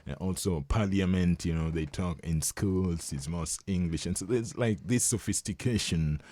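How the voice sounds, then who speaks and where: A young Kenyan man whose first language is Luo, explaining things in a relaxed, conversational way during an interview and chuckling often. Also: low voice, moderate pace, no room sound. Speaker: guest.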